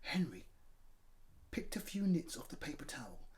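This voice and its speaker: A man presenting a children's storytime, talking directly and encouragingly to young listeners and narrating in a lively way. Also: on the high side, normal speed.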